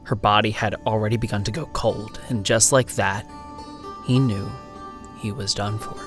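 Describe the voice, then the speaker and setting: A man's voice: fairly low pitch, fairly even intonation, fairly bright tone. A man narrating a horror story in a solemn, suspenseful, dramatic way. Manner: slow, solemn, suspenseful delivery. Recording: music behind the voice. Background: music.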